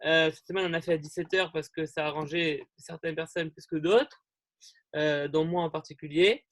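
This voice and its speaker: Young man rambling warmly on a video call. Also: a little high, fairly even intonation, moderate pace, dry recording, studio quality. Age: young adult. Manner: friendly, rambling, many fillers and restarts. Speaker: youth group leader hosting an online meeting. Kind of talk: casual talk to a group on a video call.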